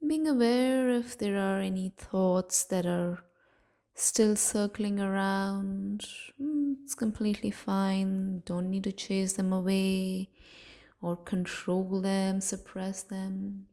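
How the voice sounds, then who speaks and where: A woman leading a guided sleep meditation in a hushed, soothing, softly breathy way, leaving long pauses. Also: mid-range voice, fairly even intonation.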